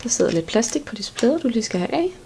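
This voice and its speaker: A woman's voice: fairly high pitch, big pitch swings, warm tone, a little hiss or hum. A woman giving an instructional product walkthrough in a plain, step-by-step way. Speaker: product demonstrator.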